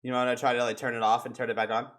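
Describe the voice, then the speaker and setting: Mid-pitched man, crude and jokey. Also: level tone, rapid-fire, fairly bright tone. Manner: crude, jokey, swears casually. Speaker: podcast host. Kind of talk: casual, joking podcast chat.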